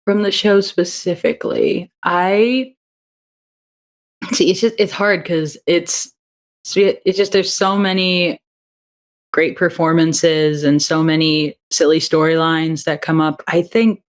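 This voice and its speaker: A woman's voice: on the low side, fairly even intonation, slow pace. A young adult woman, a guest on an interview over a video call, talking enthusiastically about her audio drama and thinking aloud with frequent restarts. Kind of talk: casual interview chat.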